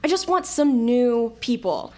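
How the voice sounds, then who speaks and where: Actress as a sardonic, high-voiced, very animated woman. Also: unhurried. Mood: flustered, irritated. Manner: exasperated, sarcastic. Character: irritable, sardonic old woman restored to a youthful form. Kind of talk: scripted audio drama.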